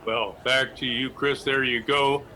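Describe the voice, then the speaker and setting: Bright-voiced deadpan street reporter, played by a man. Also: medium pitch, measured pace. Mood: self-important. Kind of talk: improvised comedy sketch, mock news report.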